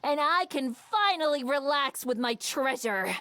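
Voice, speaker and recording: A woman's voice: high-pitched, lively intonation, a little slow, a bit bright, no room sound. A woman voicing a cocky, confident gambler with a taunting swagger in a visual novel game. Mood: smug, confident.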